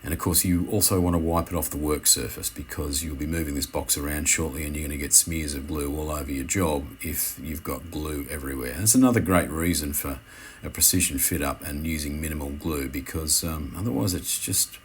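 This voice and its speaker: A man giving an unscripted how-to commentary as an instructor, speaking in a halting way and often correcting himself. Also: extremely low pitch, slight background noise. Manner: hesitant, halting, self-correcting.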